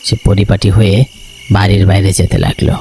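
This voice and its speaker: নিচু গলার পুরুষ গল্পকথক. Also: male voice, fairly low pitch, little pitch movement, moderate pace, mellow, slight background noise. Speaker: storyteller narrator. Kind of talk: scripted horror story narration for a cartoon.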